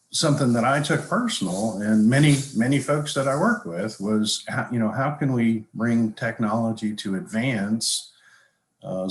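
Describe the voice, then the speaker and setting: An older man explaining his field as an interview guest, halting now and then as he thinks aloud. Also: on the low side, a little hiss or hum. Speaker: guest, avionics industry professional and pilot. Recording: echoey, roomy call audio.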